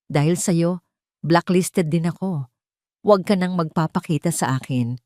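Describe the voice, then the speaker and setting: A woman's voice: on the low side, normal speed, a little mellow, no room sound, studio quality, close to an everyday voice. A woman reading the part of a resentful man who coldly sends away the former boss who cost him his job. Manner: cold and resentful.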